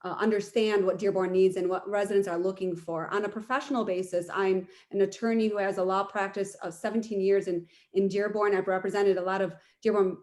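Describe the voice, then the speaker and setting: A woman's voice: mid-range voice, a little mellow. A middle-aged woman, a political candidate, answering a question at a forum. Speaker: mayoral candidate, attorney.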